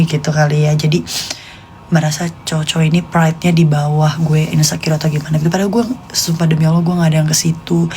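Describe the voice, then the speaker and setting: A woman's voice: deep voice, warm tone, slight background noise. A woman in her late twenties with a Jakartan Indonesian accent, chatting casually and confidingly to live stream viewers in informal slang. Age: late 20s.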